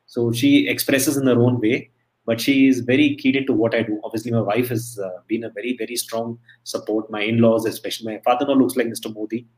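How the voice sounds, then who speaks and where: A man's voice: mid-range voice, little pitch movement, fast, darkish tone, low-quality recording. A middle-aged man from India, a guest in a casual interview, talking in a chatty storytelling way with many restarts. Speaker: interview guest, emcee and entertainer.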